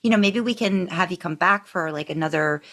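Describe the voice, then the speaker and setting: A woman podcast host with a slightly low voice talks in a casual, conversational interview style. She is effusive and chatty, wandering into asides as she goes. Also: a little fast, a bit bright. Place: remote video call.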